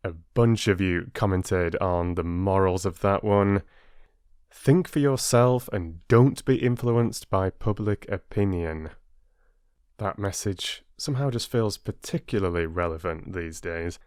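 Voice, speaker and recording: A man working as a storyteller and host, speaking in an expressive, deliberate audiobook style. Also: sits low, clean tone, no room sound, studio quality. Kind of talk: expressive audiobook narration and listener address.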